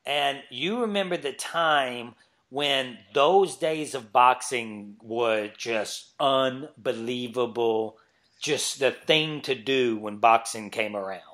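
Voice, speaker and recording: A man's voice: mid-range voice, slow pace, a bit bright. An easygoing man hosts a casual podcast chat in a mid-range voice. He laughs easily, yet he pushes back firmly when challenged. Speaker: podcast host.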